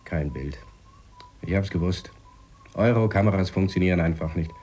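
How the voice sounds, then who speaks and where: A man's voice: deep voice, moderate pace, mellow, slight background noise, natural voice. An actor playing a sardonic, worried astronaut who monitors his partner in a German science-fiction radio play, speaking in a dry, sceptical, pressing way. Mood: concerned, sardonic.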